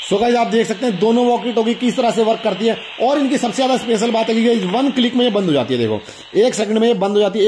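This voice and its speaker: A man's voice: high voice, darkish tone, a little hiss or hum. A young man, chatting casually to viewers as he hosts a hands-on toy unboxing. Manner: chatty, demonstrative, often addresses viewers. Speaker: toy review host.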